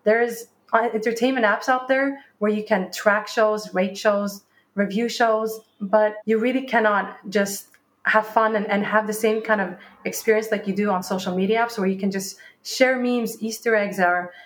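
A woman's voice: mid-range voice, fairly even intonation, normal speed. A young woman from Sweden, a startup founder, answering interview questions in a chatty way, often restarting her words and acting out past conversations. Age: young adult.

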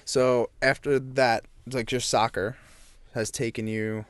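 Laid back dude hosting a chat podcast, swears a bit, flat dry sound. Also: male voice, medium pitch, takes it slowly, smooth, no echo. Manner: relaxed, swears casually. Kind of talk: casual chat.

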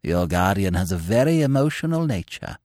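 Man playing mild old clergyman, fussy, lively pitch, dry sound. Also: fairly quick, dry recording. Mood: curious.

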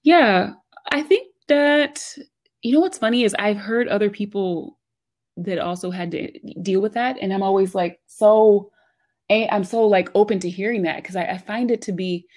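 An American woman speaks as a guest in a relaxed interview format. Her voice sits at an average pitch for a woman. She talks informally and chattily, telling personal stories with plenty of "like" and "you know". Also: normal speed. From United States, Philadelphia area. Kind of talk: casual conversational storytelling.

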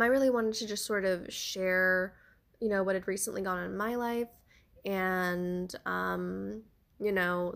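A woman's voice: mid-range voice, fairly bright tone. A young woman hosting a solo podcast, chatting casually with many fillers while congested and a bit nasal. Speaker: podcast host; theater actor.

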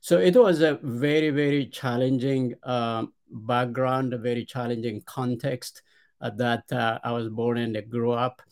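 A middle-aged man with an Ethiopian accent, a podcast guest telling his life story in a hesitant way, with many "uh" pauses and repeated starts. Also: mid-range voice, steady tone. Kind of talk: conversational storytelling.

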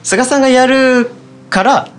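A man's voice: very high voice, a little slow, fairly bright tone, soft background music. A man, a scriptwriter and director, eagerly explaining his ideas with lots of agreeing fillers. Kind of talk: casual chat.

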